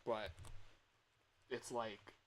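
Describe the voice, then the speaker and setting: A man streamer chatting casually, thinking aloud in a halting, rambling way. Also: on the low side, little pitch movement. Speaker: livestreamer and fangame developer. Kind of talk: casual livestream chat. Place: livestream at a computer.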